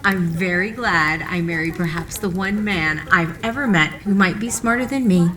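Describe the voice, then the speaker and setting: A woman's voice: on the low side, normal speed, fairly bright tone, natural voice. An actress playing a proud, teasing middle-aged scientist wife and mother in an audio drama. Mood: earnest, proud.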